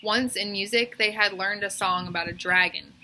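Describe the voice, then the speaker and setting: A woman reading a children's story aloud as a teacher, in expressive narration. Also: medium pitch, normal speed, slight background noise, rough recording.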